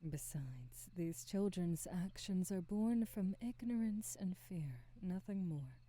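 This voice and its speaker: A woman playing a kindly, centuries-old witch shopkeeper in an ASMR roleplay, gentle, motherly and wise, in a soft breathy half-whisper with soothing, measured, formal phrasing. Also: fairly low pitch, lively intonation, no echo. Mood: calm, measured.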